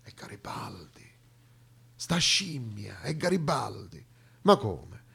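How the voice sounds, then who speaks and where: A man performing a theatrical comic monologue as storyteller, with dramatic pauses, moving between hushed and emphatic delivery. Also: on the low side, very animated, creaky, slightly rough.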